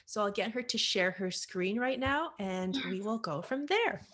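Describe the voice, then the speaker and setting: A woman from Canada hosting a casual video call interview, encouraging, with quick affirmations and laughter. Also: mid-range voice, expressive, a bit bright. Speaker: interview host.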